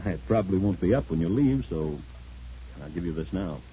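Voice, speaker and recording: A brisk, worldly stepfather in a vintage radio drama. His low voice moves through lively swings of pitch. The recording is dull with some faint noise. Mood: indulgent, knowing. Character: well-off stepfather, brisk, generous old friend. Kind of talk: old-time radio drama acting. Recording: muffled, noisy old radio recording.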